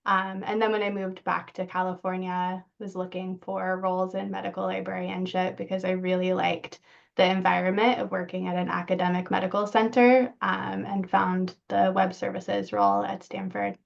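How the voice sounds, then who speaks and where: A woman's voice: on the low side, moderate pace, creaky. A woman librarian giving an informal account on a panel, on slightly muffled audio.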